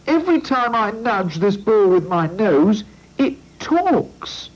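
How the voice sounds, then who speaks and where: A man voicing a young male character who is sorry for himself, in a very high, plaintive voice, on a lo-fi storybook recording. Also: big pitch swings, slow pace, full character voice. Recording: lo-fi old recording.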